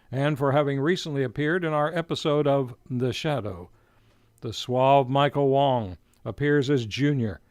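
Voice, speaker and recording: A man's voice: fairly high pitch, no room sound. Theatrical male radio announcer, close and dry. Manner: theatrical, formal, emphatic announcer delivery. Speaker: radio show host and announcer. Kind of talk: scripted radio announcer narration, old-time radio hosting. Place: radio studio, live broadcast.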